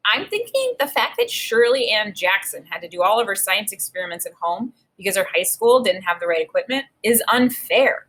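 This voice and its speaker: A woman teacher reading a children's book aloud and pausing to think aloud about it. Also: mid-range voice, poor audio. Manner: reads with expressive emphasis, pauses to think aloud. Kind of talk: read-aloud lesson with think-aloud.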